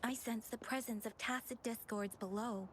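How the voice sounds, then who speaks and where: A woman's voice: fairly high pitch, steady tone, normal speed, a little hiss or hum, natural voice. An actress playing an earnest, dutiful young female outrider in a video game, resolute and protective. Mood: wary. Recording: game audio with faint background ambience.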